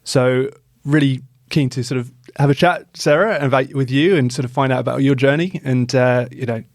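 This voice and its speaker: A man's voice: mid-range voice, normal speed, no echo. Casual male podcast host, close dry sound. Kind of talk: casual interview chat.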